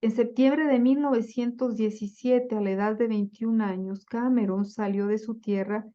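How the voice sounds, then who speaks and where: A woman giving a slide-based lecture on Bible translation, speaking deliberately with frequent fillers and self-corrections. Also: on the high side, fairly even intonation, dark tone, dull audio.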